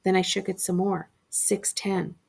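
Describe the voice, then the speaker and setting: A woman librarian reading a spooky story aloud with expressive narration. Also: mid-range voice, a little slow, creaky, husky.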